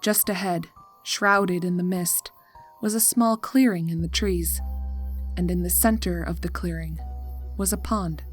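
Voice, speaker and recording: A woman narrating a scripted horror story in a measured, atmospheric storytelling manner. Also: on the low side, faint music behind.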